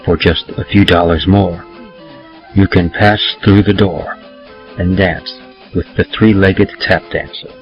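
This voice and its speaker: Deep-voiced man reading horror, music underneath. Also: fairly even intonation, measured pace, gravelly. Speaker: podcast host and reader. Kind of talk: dramatic horror poetry reading. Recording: slightly muffled lo-fi recording.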